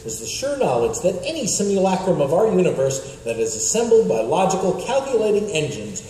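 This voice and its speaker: Wry, grandiose serial announcer, male actor: high, swooping voice, theatrical comic timing. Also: big pitch swings, fairly quick, warm tone. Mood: grandly philosophical. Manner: theatrical, pompous, dryly comic. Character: pompous, wry radio serial announcer narrator. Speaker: narrator. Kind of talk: scripted comic radio serial narration. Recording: very echoey hall, faint noise.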